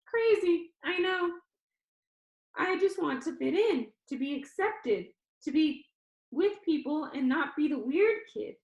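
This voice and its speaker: Woman acting exuberant high-pitched teenage boy. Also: expressive, slow, a little mellow, full character voice. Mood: earnest, joking. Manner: exuberant, playful, earnest. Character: cheerful, jokey, sincere teenage boy video-maker facing death. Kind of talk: children's novel read-aloud, character dialogue. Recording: echoey room.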